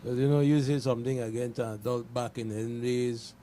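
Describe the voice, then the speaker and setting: A man's voice: medium pitch, little pitch movement, fairly quick, warm tone, a little hiss or hum. A man on a radio panel talking casually and colloquially, peppering his points with "you know". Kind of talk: casual discussion.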